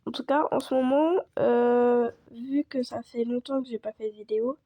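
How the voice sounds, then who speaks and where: A woman chatting casually over gameplay as a video creator, hoarse from a cold and rambling with lots of fillers. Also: on the high side, steady tone, moderate pace, no echo, muffled recording. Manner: rambling, full of fillers and restarts.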